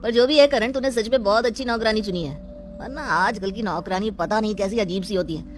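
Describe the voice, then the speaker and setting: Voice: mid-range voice, brisk, slight background noise, lightly acted. A performer voicing a casual male friend who talks in a chummy, probing way in a storybook drama. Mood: teasing.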